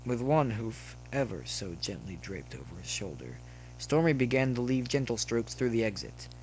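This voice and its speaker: A man narrates a story in a medium-pitched voice. His reading is scripted and expressive. There is some faint noise and hum on the recording. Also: moderate pace, poor audio. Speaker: narrator reading fan fiction.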